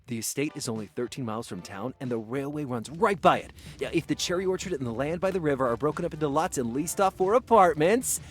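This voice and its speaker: An actor playing an eager, awkward self-made merchant of peasant birth in a visual novel, earnest and halting, sometimes stammering. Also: male voice, on the high side, expressive, close to an everyday voice. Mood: eager pitching. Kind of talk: naturalistic visual novel acting.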